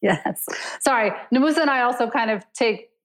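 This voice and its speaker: A woman's voice: a little high, fairly quick. A woman co-hosting a podcast, talking in an upbeat, playful way and laughing between lines as she explains a game segment. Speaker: podcast co-host.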